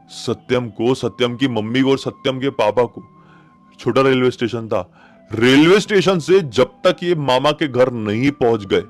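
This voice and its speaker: A man telling a horror story informally, in a conversational and dramatic retelling that speaks straight to the listener. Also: mid-range voice. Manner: animated retelling, addresses listeners directly, repeats phrases for suspense.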